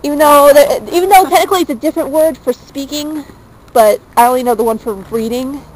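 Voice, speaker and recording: High-voiced chatty young woman vlogging. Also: a little fast, a little mellow, rough recording. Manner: chatty, jokey, self-mocking. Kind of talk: casual chat while walking. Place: outdoors on a small-town street, later indoors in a wood-paneled room. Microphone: handheld camera held close.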